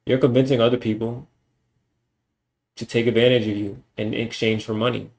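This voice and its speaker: A man's voice: medium pitch, little pitch movement, moderate pace, creaky, darkish tone. A young man streaming alone, ranting bluntly and with lots of profanity in a casual monologue. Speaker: livestreamer.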